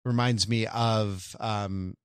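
A man's voice: on the low side, fairly even intonation, slow pace, clear, resonant tone, dry recording. A man co-hosting a podcast, chatting casually with dry wit and a few hesitations. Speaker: podcast co-host. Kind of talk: casual chat.

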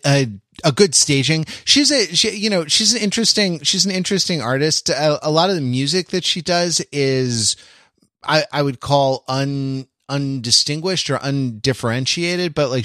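A man's voice: mid-range voice, a bit bright, dry recording. Man talking casually, close and dry. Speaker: podcast host. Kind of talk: casual pop-culture discussion.

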